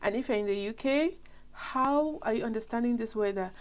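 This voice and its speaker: A woman's voice: a little high, fairly quick. Chatty Cameroonian woman vlogger with a flu. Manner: chatty, rambling, direct address to viewers. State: has a flu. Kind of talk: casual chat to camera.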